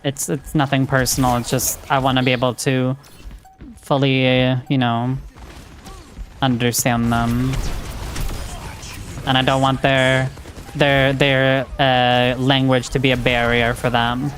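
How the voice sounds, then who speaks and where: A woman's voice: rumbling low, flat delivery, unhurried, pauses often, faint music behind. A woman streamer chatting casually and frankly while she plays a game, with lots of filler words. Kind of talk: casual chat while gaming.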